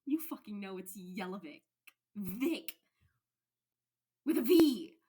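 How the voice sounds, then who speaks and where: A woman voicing a brash, foul-mouthed female teacher in a story, sharp and indignant. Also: mid-range voice, animated, takes it slowly, slightly rough. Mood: outraged.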